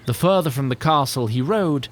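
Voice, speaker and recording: A man narrating a scripted story in a measured, literary and theatrical manner. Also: mid-range voice, expressive.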